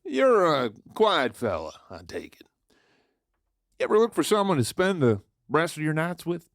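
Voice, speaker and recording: A man's voice: on the high side, big pitch swings, moderate pace. A man playing a flirtatious, mischievous outlaw in a western audio drama, with showy, folksy cowboy patter. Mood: teasing, confident. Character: swaggering, flirtatious male outlaw charmer, mischievous and theatrical.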